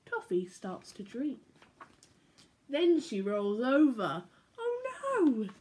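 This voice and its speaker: Lively young woman reading picture books. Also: a little high, takes it slowly, slight background noise. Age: young adult. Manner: animated, playful read-aloud with sound effects. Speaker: church children's storyteller. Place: living room at home. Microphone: laptop or phone camera at arm's length.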